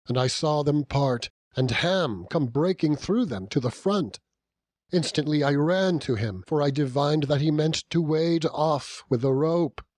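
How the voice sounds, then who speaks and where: A man narrating a classic novel as an audiobook, in a measured, dramatic storytelling manner with deliberate pauses. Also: medium pitch, no echo. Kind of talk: scripted literary narration.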